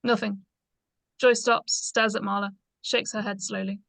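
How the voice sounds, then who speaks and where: A woman's voice: mid-range voice, little pitch movement, measured pace, creaky, fairly bright tone, dry recording. A woman reading out the stage directions of a screenplay as scripted narration.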